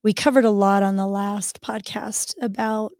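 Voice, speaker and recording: A woman co-hosting a podcast with a hoarse, recovering voice, asking halting questions with many ums. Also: medium pitch, flat delivery, darkish tone, dry recording, clean studio sound. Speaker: co-host. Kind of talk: casual podcast chat.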